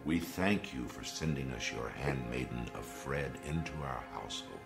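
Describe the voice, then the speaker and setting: Very deep-voiced, pious regime commander. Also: male voice, vocal fry, music in the background. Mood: solemn prayer. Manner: slow, ceremonial, declamatory. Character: solemn, pious male commander of an oppressive regime. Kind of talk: audio drama acting.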